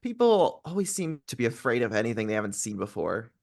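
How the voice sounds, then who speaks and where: A woman's voice: a bass voice, sing-song, fast, a little mellow, full character voice. A woman playing a nerdy, kind and nervous teenage girl in an audio musical, stammering when flustered. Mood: shy, chatty. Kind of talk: podcast musical read-through.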